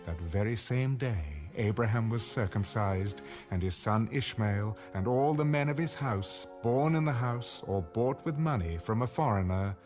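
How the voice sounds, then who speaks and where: Low-voiced male scripture narrator over music. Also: lively intonation, moderate pace, hardly any pauses. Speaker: audio Bible narrator.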